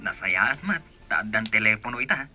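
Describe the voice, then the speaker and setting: A man's voice: lowish voice, lively intonation, brisk, pushed and bright, faint music behind. A man with an Ilocano accent playing an eager, respectful village go-between in a radio drama. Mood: eager, reassuring.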